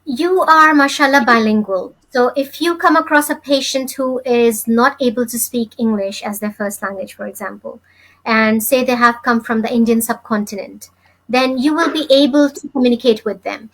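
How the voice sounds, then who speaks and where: A woman hosting an interview over a video call, asking questions in a measured, polite way. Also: a little high, fairly bright tone, rough recording. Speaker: host. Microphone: video call webcam.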